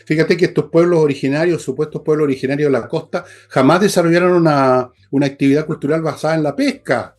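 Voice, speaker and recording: A man's voice: fairly high pitch, fast talker, few pauses, darkish tone. A man with a Chilean accent giving opinionated political commentary as a host, emphatic, scornful and blunt, with coarse slang.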